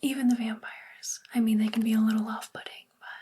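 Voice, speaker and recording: A woman's voice: a little high, little pitch movement, slight background noise, close to an everyday voice. Woman playing a whispering sweet witch librarian. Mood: kind, reassuring. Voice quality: breathy, whispery soft voice. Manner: gentle, soothing, unhurried. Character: sweet, caring young witch librarian, gentle and bookish. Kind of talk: soft spoken ASMR roleplay.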